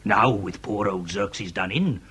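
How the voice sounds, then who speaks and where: An actor playing a pompous, excitable old-fashioned gentleman who relishes ornate phrases in a period comedy radio drama. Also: on the low side, unhurried, breathy edge, heavily acted. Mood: gossipy relish.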